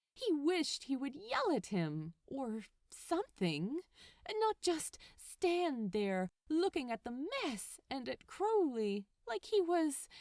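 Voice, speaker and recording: Casting: female fiction narrator, fairly high voice, slow and deliberate, dramatic delivery, dry close sound. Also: a little mellow, no echo. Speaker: podfic narrator. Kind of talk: expressive scripted story narration.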